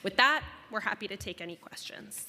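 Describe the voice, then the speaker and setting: A woman's voice: mid-range voice, animated, a little fast. A woman official giving prepared testimony in a large council chamber, reading steadily and formally. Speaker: city agency director testifying. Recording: hall reverb, faint room noise.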